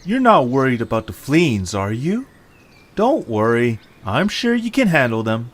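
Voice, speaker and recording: A man playing an eager, hopeful young adventurer in an amateur audio drama, with excitable, expressive delivery. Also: mid-range voice, measured pace. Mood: earnest. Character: eager, hopeful young male adventurer leading his friends.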